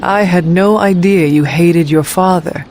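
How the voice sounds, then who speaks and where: A woman playing an earnest, resolute young warrior in a video game, speaking in a measured way. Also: fairly low pitch, darkish tone, slight background noise, lightly acted. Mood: curious, sympathetic. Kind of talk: video game cutscene acting.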